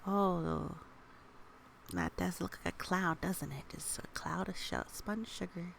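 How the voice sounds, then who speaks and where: A woman reading a picture book aloud for young children, with gentle, expressive, chatty asides and questions. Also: fairly low pitch, takes it slowly, gravelly, a little hiss or hum.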